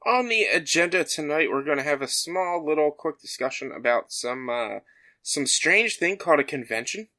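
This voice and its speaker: A man with a mid-pitched, bright voice talks loosely on a podcast, leaning on fillers as he goes. He also runs through the agenda for the episode. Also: lively intonation, moderate pace. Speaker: podcast co-host. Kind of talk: casual chat.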